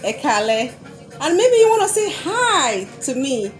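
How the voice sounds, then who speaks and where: A woman's voice: high voice, big pitch swings, normal speed, soft background music. A woman in her thirties from Nigeria with a Nigerian accent, presenting cheerful facts about her country to children over a webcam at home. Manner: upbeat, leads with prompts. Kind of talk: explaining to children. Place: home room with photos on wall. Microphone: video call webcam.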